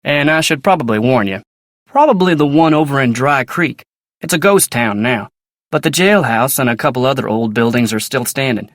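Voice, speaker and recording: An actor playing a polite, earnest young ranch hand in a video game, with a folksy American Western drawl and a plainspoken way of talking. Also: male voice, medium pitch, lively intonation, normal speed, fairly bright tone, dry recording, clean studio sound, close to an everyday voice. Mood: plainspoken, courteous. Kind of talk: video game character dialogue.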